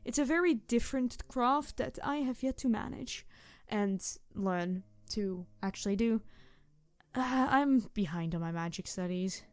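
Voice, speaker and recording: A woman voicing a playful, mischievous tanuki spirit girl in a roleplay audio drama, teasing the listener in an excitable, rambling way. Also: a little high, measured pace, no room sound. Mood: sheepish, wistful. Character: playful, mischievous young-sounding tanuki trickster spirit girl, over two hundred.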